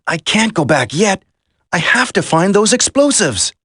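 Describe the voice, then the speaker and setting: Game hero: determined young agent, dramatic, lively intonation, unhurried delivery, close dry sound. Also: male voice, sits high, husky, dry recording, heavily acted. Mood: focused, worried. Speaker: voice actor in a video game. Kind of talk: video game dialogue acting.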